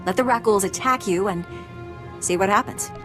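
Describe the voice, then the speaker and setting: A woman acting a brisk, dry-witted medical researcher in a video game, wry and matter-of-fact. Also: mid-range voice, over music, lightly acted. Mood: wry, resolute.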